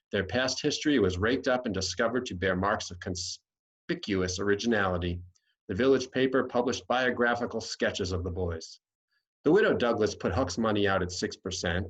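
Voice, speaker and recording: A man's voice: on the low side, breathy edge, muffled recording. An American man reading a classic storybook aloud as scripted narration. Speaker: audiobook reader.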